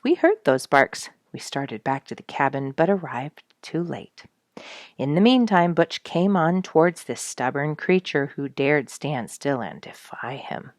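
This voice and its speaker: Female storyteller for audiobook narration, low register, expressive and deliberate phrasing, close dry sound. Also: dry recording. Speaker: storyteller reading an audiobook chapter.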